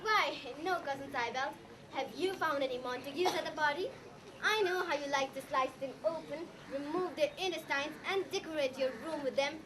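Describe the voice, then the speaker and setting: A woman's voice: high-pitched, normal speed, natural voice. A young woman acting a bossy, impatient young woman in a comic school Shakespeare parody, projecting on stage. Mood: coy, pushy. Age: young adult. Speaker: student actor in a school play. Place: school stage, recorded from afar. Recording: distant stage recording with background noise.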